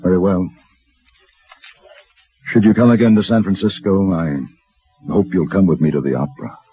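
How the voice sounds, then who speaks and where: Suave low-voiced radio gunfighter. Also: male voice, slightly rough, exaggerated voice. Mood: quiet, courteous. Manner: formal, polished, coolly deliberate. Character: suave, self-assured western gunfighter for hire. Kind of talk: 1950s western radio drama acting. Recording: old radio broadcast, narrow band, noisy.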